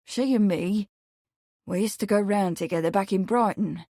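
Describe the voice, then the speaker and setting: A woman's voice: mid-range voice, normal speed, dark tone, dry recording, studio quality, lightly acted. A woman narrator voicing an earnest, awkward young working-class Englishman who is devoted to his sweetheart in a storybook. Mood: determined. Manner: muttering, earnest and emphatic.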